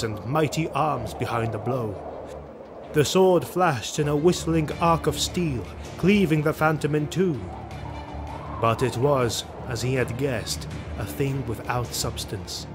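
A man narrates a sword-and-sorcery audio drama with grave, dramatic delivery. His mid-pitched voice carries scripted prose over clearly audible music. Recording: music behind the voice.

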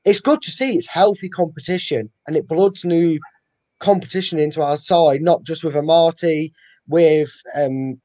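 Young man, dark mellow voice. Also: on the high side, fairly even intonation, normal speed, dry recording. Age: young adult. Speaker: fan channel co-host. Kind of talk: casual football post-match chat. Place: living room at home, indoors. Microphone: video call, webcam. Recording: dull lo-fi video call audio.

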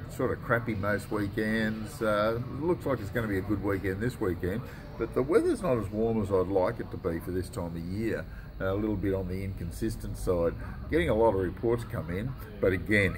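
Australian man, 60s, lowish pitch, plain-spoken and relaxed delivery, informal shop presenter talking to camera. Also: noisy background. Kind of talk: informal fishing report to camera. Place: indoors in a tackle shop.

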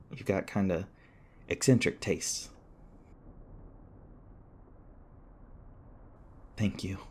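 A man playing a shaken, apologetic young human in an audio roleplay, speaking hesitantly with stammers and halting phrases. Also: on the low side, a little mellow, a little hiss or hum, natural voice. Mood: anxious, drowsy. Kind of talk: ASMR audio roleplay, naturalistic acting.

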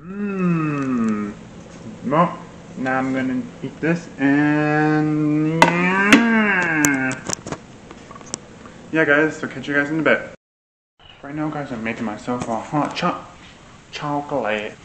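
A man's voice: fairly high pitch, slow, pauses often. A young man in his twenties chatting offhand in a casual home cooking vlog, full of fillers. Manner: rambling, offhand, many fillers. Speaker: vlogger. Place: small home kitchen, indoors. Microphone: camera set on the counter, at a distance. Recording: echoey kitchen with background noise.

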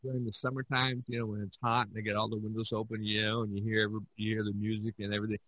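A man hosting a podcast in casual chat, speaking haltingly and repeating words as he searches for phrasing. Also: lowish voice, level tone, fairly bright tone, dry recording, low-quality recording. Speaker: podcast host.

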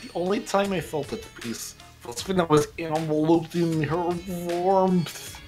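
A man voicing a creepy, lovesick young man with a theatrical, drawn-out delivery in a game fan dub. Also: a little high, a little mellow, soft background music, natural voice. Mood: rapturous longing. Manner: slow, dramatic, drawn-out delivery.

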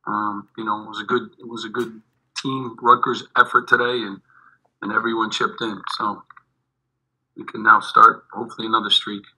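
A middle-aged man, a head basketball coach, answering postgame questions over a video call, halting and repeating his words, with many fillers. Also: lowish voice, little pitch movement, pushed and bright, poor audio. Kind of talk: postgame press conference answers. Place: indoors in front of a team backdrop. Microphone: video call webcam.

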